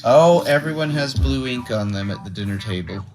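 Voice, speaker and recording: A woman hosting a picture book read-aloud, playful and expressive, with casual asides to a child. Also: very deep voice, brisk, slight background noise. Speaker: read-aloud show host. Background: music.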